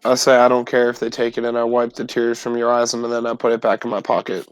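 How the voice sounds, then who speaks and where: A man's voice: medium pitch, level tone, quick pace, clean tone, no echo. A man playing in a tabletop roleplay, chatting casually and speaking for his character. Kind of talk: casual in-character game chat. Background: faint music.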